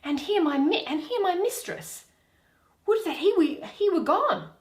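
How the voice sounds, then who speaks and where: Woman playing a dainty, lilting, slow-spoken fairy. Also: extremely high pitch, lively intonation, pitched above the performer's own voice. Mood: alarmed. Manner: lilting, theatrical verse delivery. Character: dainty wandering female fairy serving the fairy queen.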